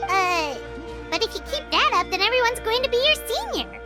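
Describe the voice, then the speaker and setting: Tiny squeaky fairy girl, very high, swooping intonation, cheeky, blunt, video game sidekick, loud music. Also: big pitch swings, normal speed. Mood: puzzled. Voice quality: squeaky, childlike. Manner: refers to self in third person.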